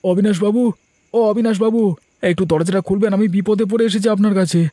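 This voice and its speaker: A man's voice: high-pitched, steady tone, brisk, dark tone, slight background noise, lightly acted. A man playing a sarcastic, grumbling poor farmer and long-suffering husband in a cartoon. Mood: distressed, complaining. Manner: sarcastic, complaining. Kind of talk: Bengali cartoon dialogue. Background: background music.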